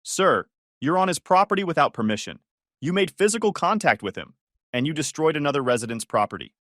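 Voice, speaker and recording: A stern police officer questions in a curt, businesslike way, as a male narrator puts him on. His voice sits a bit high and lively, recorded close and dry. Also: a little fast, no echo.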